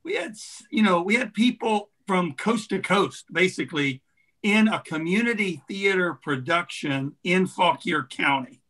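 A man's voice: high-pitched, lively intonation, a little slow, sharp tone. A middle-aged man giving earnest, emphatic, reflective remarks over a video call. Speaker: theatre director. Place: room indoors on a video call. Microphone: video call computer mic.

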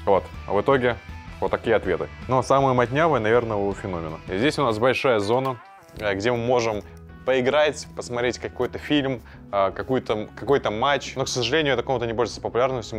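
Chatty man giving a house tour. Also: lowish voice. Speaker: vlog host and team owner. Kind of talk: casual house tour and interviews. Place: large rented house indoors.

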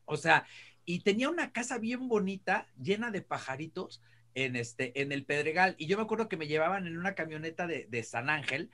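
A man's voice: a little high, animated, fairly quick, pushed and bright. A middle-aged man with a Mexican accent chatting casually and telling anecdotes as a panelist on a video call. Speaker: entertainment show panelist. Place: indoor room, video call. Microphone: video call mic.

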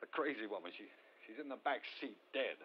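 An actor in an old radio thriller playing a frightened, agitated man who speaks haltingly in his own defence. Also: lowish voice, expressive, close to an everyday voice. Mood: panicked, shaken. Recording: muffled, noisy old radio recording.

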